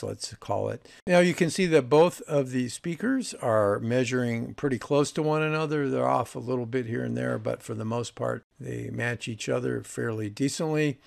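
A man's voice: medium pitch, gravelly. A man in his sixties hosting a casual, unscripted product review in a matter-of-fact way. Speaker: host of vintage audio review.

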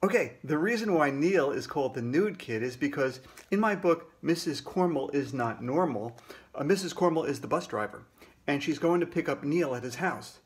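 A man's voice: a little high, animated, moderate pace. A man in his sixties, a children's author, casually explaining trivia to kids.

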